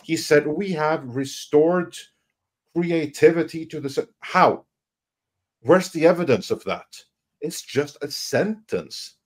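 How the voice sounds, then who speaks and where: A man giving emphatic, critical commentary on a live panel, often halting and repeating words as he goes. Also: a little high, expressive.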